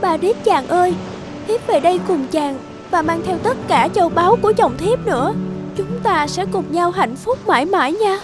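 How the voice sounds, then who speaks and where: A woman's voice: very high-pitched, lively intonation, normal speed, voice pushed up. A woman voicing a tender, lovestruck young queen in a fairy-tale cartoon, with emotive delivery. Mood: adoring. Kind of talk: dramatic cartoon dubbing. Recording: music behind the voice.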